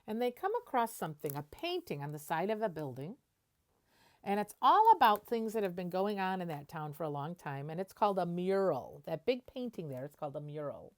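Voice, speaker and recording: Female teacher-type reader for a children's picture book, slightly low pitch, highly animated intonation, expressive and plainly explanatory. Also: fairly quick. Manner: expressive, explains words simply. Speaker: teacher reading aloud.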